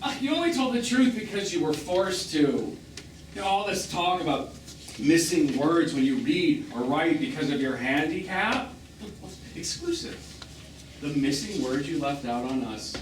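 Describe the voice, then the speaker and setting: A man's voice: on the high side, animated, normal speed, natural voice. An actor on stage playing a bitter, wounded man in his fifties, sarcastic and theatrical, as a jilted lover in a live drama. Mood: wounded, scornful. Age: 50s. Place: small theatre, recorded from the audience. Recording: echoey, noisy stage recording from far off.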